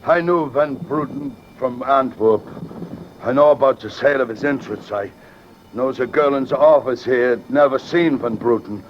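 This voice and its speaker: An actor playing a scheming, frightened European impostor in an old radio drama, speaking stilted broken English with a foreign accent. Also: male voice, medium pitch, moderate pace, slight background noise, lightly acted. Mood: grudging. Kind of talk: old-time radio villain acting. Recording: dull vintage radio recording, faint music.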